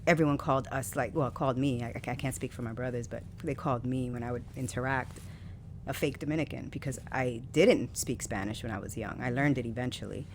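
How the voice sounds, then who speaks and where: A woman poet from New City, a podcast guest telling candid stories about her upbringing with animated, laughing energy. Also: a bass voice, fairly quick. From New York City. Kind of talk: casual interview conversation. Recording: faint background noise.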